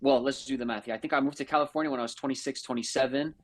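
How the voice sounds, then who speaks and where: A man in his thirties from Brazil, raised in New York, a native Portuguese speaker chatting in a relaxed, rambling way as a guest on a podcast. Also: mid-range voice, very fast, no room sound. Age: 30s. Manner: laid-back, rambling, lots of fillers and "bro", "man". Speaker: guest, house flipper. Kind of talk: casual podcast chat.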